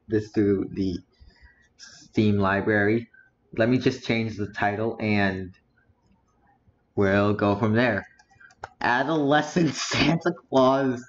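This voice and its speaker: A teenage boy streaming, muttering to himself as he browses and reads titles aloud. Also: on the low side. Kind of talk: casual live-stream commentary. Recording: dull lo-fi audio with faint noise.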